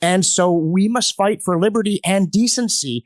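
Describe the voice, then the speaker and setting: A man's voice: sits high, normal speed, dry recording, clean studio sound. A man telling history in a podcast discussion, earnest and emphatic, digressing and acting out quotes as he goes. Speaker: guest commentator on history. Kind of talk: animated storytelling in a podcast discussion.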